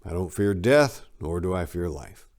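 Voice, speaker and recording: Low-voiced man giving a dramatic reading. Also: expressive, moderate pace, a little mellow. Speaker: reader of an autobiography he edited. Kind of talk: dramatic audiobook-style reading.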